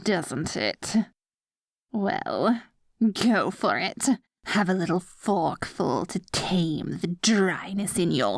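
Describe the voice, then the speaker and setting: A woman playing a dorky evil sorceress queen in an ASMR roleplay, petty but secretly soft-hearted, mixing mock-villain grandeur with awkward asides. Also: fairly low pitch, no room sound, clean studio sound, full character voice. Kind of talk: ASMR roleplay, addressing the listener directly.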